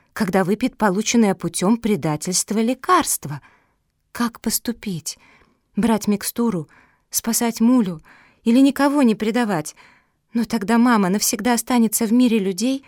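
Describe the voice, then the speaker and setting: High-pitched teenage puppy girl acted by a woman, storybook character, loyal, fervent, pleading, dry close sound. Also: warm tone, no echo. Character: feisty naive teenage puppy girl, passionate, loyal, tearful.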